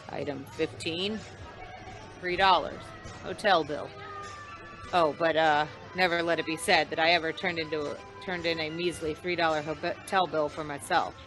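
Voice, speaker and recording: A woman's voice: on the low side, bright, forceful tone, poor audio. A woman playing a wry, hard-boiled insurance investigator, narrating an old-time radio noir in slangy style.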